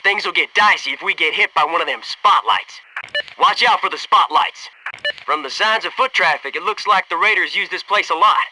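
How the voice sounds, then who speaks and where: A man's voice: high-pitched, moderate pace, background noise, lightly acted. A man voicing a cocky, wisecracking American special forces soldier for a video game, with slangy military banter and an American accent. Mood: alert, focused. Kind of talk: scripted video game voice lines.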